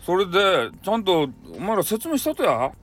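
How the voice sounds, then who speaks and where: A man's voice: high voice, big pitch swings, normal speed, fairly bright tone, faint music behind, voice pushed up, exaggerated voice. A man playing a hot-headed, bossy company boss with a Hakata accent, scolding bluntly in a comic radio drama. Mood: furious scolding. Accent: Hakata, Fukuoka.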